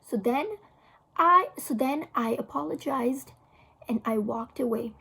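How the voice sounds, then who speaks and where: A woman with a husky voice reading a children's novel aloud as a teacher would for a class. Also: fairly high pitch, unhurried, pushed and bright, poor audio.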